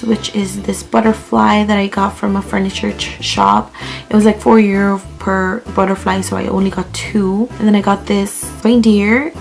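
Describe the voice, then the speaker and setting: A young woman from the Philippines talks through her home vlog in a chatty, easygoing way, with plenty of "like" and "um". Her voice is strained, as she is losing it. Soft music plays faintly underneath. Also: mid-range voice, creaky. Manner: chatty, with fillers like "like" and "um". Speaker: vlogger. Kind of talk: casual home vlog narration. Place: living room at home.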